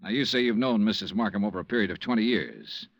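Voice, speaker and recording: An actor playing a dry, clipped insurance investigator in a 1950s radio detective drama. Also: male voice, lowish voice, sing-song, fast, gravelly, no room sound, lo-fi sound, exaggerated voice. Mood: probing, dry. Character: hardboiled, dryly probing male insurance investigator. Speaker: detective and narrator. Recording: restored 1950s radio with music.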